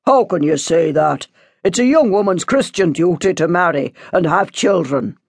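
A woman voicing a paternal male parish priest in an audiobook, measured, coaxing and moralising. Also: lowish voice, expressive, vocal fry, breathy edge, dry recording, studio quality, full character voice. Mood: admonishing.

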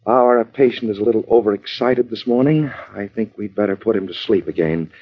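An American actor playing a scheming crook who poses as a kindly doctor in an old-time radio crime drama, with soothing, patronizing talk. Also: male voice, lowish voice, a little fast, husky. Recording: muffled vintage radio broadcast recording.

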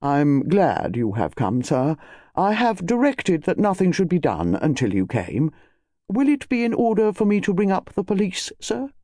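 A very formal English butler , acted by a man, grave and reproachful. The voice is mellow and close, in a storybook reading. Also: high voice, huge pitch swings, moderate pace, no echo, pitched above the performer's own voice. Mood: solemn, reproachful.

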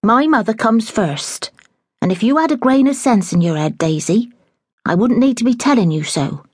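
A flustered middle-aged housekeeper, bossy and quick to make a drama of things, as a woman reads her in a storybook. Her voice sits a little above the reader's own, slightly husky, with lively swings in pitch. The sound is close and dry. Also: dry recording. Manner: fussy, dramatic, blunt.

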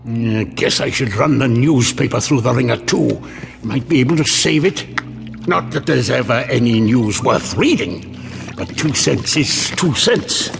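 A man's voice: medium pitch, expressive, unhurried, vocal fry, over music. An actor playing a grumbling, indignant, sarcastic working-man janitor in a comic radio drama, muttering his complaints. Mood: muttering, dismayed.

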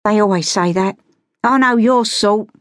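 Narrator voicing a high-pitched, defiant beggar girl. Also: measured pace, dry recording, studio quality, close to an everyday voice. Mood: dismissive. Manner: streetwise, uneducated speech, guarded and cheeky. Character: poor, wary, defiant sixteen-year-old London beggar girl.

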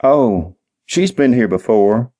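Man playing a higher-voiced dim-witted old hotel clerk. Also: animated, warm tone. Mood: dim, earnest. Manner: slow-witted, literal, folksy ungrammatical speech. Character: dim-witted, eager hotel night manager in his sixties.

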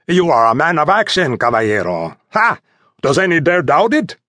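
A male narrator puts on a swaggering soldier sergeant for an audiobook. He is loud, hearty and full of bluster, with exclamations thrown out in a raised, very animated voice. The recording is dry. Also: on the high side, moderate pace, no echo, voice pushed up, heavily acted. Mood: alarmed, flattering. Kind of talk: audiobook character voice.

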